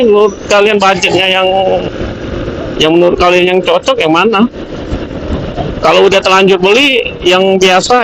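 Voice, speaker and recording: High-voiced Indonesian man chatting over traffic noise. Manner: informal, hesitant, repetitive thinking aloud. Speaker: motovlogger. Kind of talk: casual rambling product-review chat. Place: outdoors, riding a motorbike on the road. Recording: noisy outdoor traffic and vehicle noise.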